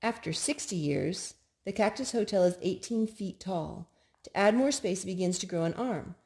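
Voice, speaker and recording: A woman's voice: medium pitch, normal speed, a little mellow. Woman reading picture books aloud. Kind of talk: read-aloud storytime narration. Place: indoors at home.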